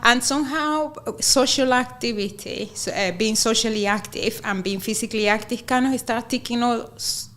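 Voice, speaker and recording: A woman's voice: on the high side, moderate pace, hardly any pauses, a bit bright, slight background noise. A woman from Venezuela with a Venezuelan Spanish accent, a radio interview guest who works on a community sports program. Her first language is Spanish, and she talks earnestly, repeating words while she searches for them.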